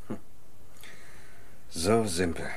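An actor playing a musing senior detective in charge in a German radio crime play, terse and deliberating. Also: male voice, deep voice, very slow, a little mellow, breathy edge, exaggerated voice.

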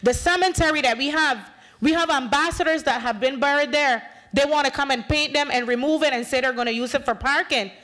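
A woman in her thirties with a Bay Islands Honduran English accent speaks at a conference podium. Her voice sits high and carries real passion. She is emphatic and acts out exchanges as she argues her case. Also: a little fast, fairly bright tone. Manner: passionate, emphatic, gestures widely, acts out dialogue. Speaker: panel speaker, community activist. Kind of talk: impassioned conference talk. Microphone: podium microphone.

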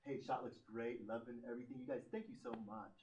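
A man's voice: mid-range voice, steady tone, fast talker, noisy background. Effusive male TV host in his forties. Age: 40s. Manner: enthusiastic, effusive. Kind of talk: live TV show hosting. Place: TV studio set. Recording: roomy studio from a distance.

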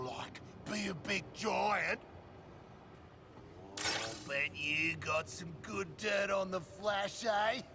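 Brash Australian rogue for a game: crude, cheeky, unhurried, highish voice, clear ambient noise. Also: male voice, full character voice. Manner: cheeky, slangy. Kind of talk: video game voice acting.

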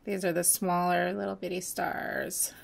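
A woman chatting casually while mixing glitter, her voice going hoarse as she loses it, with many pauses and fillers. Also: fairly low pitch, monotone. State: losing her voice, hiccups. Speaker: nail art seller mixing glitter. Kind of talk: casual crafting voice-over.

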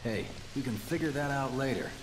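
An actor playing an easygoing, grumbling young rebel fighter in a video game, wry and colloquial. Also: male voice, medium pitch, fairly quick, background noise, natural voice. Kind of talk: video game cutscene acting. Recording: music underneath.

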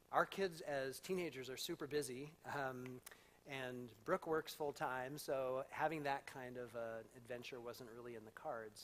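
Thoughtful middle-aged pastor in a church. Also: male voice, medium pitch, a little hiss or hum. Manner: thoughtful, candid, unhurried with fillers. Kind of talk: casual reflective talk before a congregation. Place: church sanctuary with a live audience. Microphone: handheld mic.

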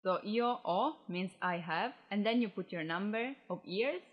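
A woman's voice: medium pitch, animated, a little slow, highs cut off. A woman in her early twenties with an Italian accent, a native Italian speaker, teaching a language lesson to camera. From Italy. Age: early 20s. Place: indoors, in front of a flag backdrop.

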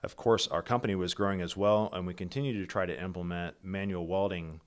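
A middle-aged man, the founder of a company, presenting a prepared keynote over slides in a steady, methodical, businesslike way, with the odd slip and restart. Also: low voice, a little fast.

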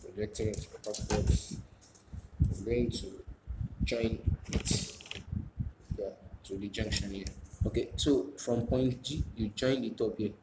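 A man's voice: on the low side, fairly even intonation, takes it slowly. A man giving a step-by-step drawing demonstration as a teacher, repeating phrases and answering his own questions. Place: indoors at a drawing desk. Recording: echoey room, noisy, with clicks.

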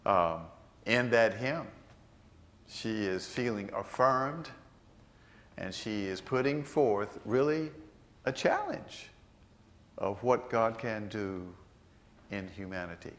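An older man, a priest, delivering a sermon in a large church, slowing down to stress key phrases. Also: on the low side, a bit bright. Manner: unhurried, earnest preaching with slowed emphatic phrases.

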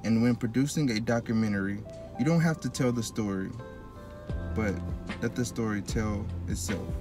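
Student testimonial; Gulfport man, deliberate and composed. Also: lowish voice, over music. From Gulfport, Mississippi. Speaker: student documentary maker. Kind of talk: reflective testimonial.

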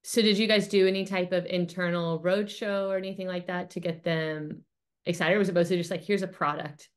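A woman's voice: lowish voice, fairly even intonation, fairly quick. A woman in her thirties chatting informally as an interviewer, affirming and conversational, on a video call from a small room. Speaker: interviewer, host. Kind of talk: casual interview chat. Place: small home office, indoors.

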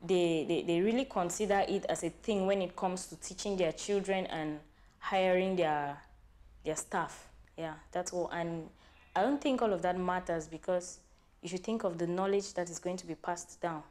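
Cameroonian woman, low calm voice. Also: normal speed. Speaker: guest teacher. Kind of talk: interview answers. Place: small studio living-room set, indoors.

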